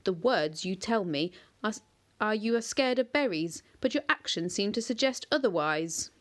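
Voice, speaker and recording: An ancient tree monster as tall as a house, speaking slowly and gravely with old, quiet authority, played by a woman reading the story. Its words come weighty and unhurried, more stern than frightening. It is a storybook voice, not a shout. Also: medium pitch, expressive, natural voice. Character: ancient giant yew-tree monster, male, imposing.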